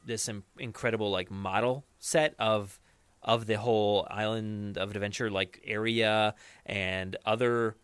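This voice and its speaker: A man chatting casually as a podcast co-host, enthusiastic and often halting as he searches for words. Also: on the low side, fairly even intonation, fairly bright tone, smooth, dry recording.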